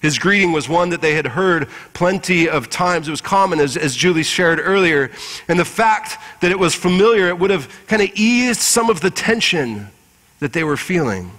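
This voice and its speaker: A man in his forties preaching a sermon as pastor, measured and earnest, with deliberate pauses, in a church sanctuary. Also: a little high, animated, a bit bright. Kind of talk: Easter Sunday sermon with scripture reading. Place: large church sanctuary with congregation. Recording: reverberant church hall through PA.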